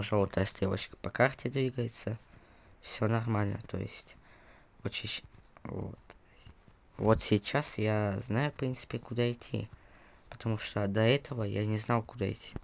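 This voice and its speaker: A man's voice: fairly low pitch, vocal fry, slight background noise. A young man casually commenting on his gameplay, rambling and correcting himself as he talks to viewers, recovering from a cough. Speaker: gaming video creator.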